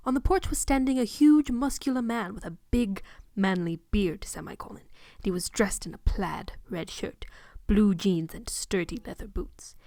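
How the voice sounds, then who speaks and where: A woman's voice: medium pitch, darkish tone, no room sound, studio quality. A woman narrating fan fiction in a mock-dramatic reading, pointedly voicing the punctuation. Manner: pointedly voices punctuation aloud.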